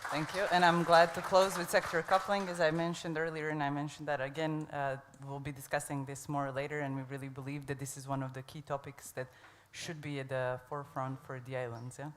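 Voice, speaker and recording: A man's voice: a little high, flat delivery, fairly bright tone, in a large hall, a little hiss or hum. A man moderating a conference, passing the floor to speakers and moving the program along informally. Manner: brisk, informal, uses fillers. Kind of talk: moderating a forum. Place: conference hall on an island.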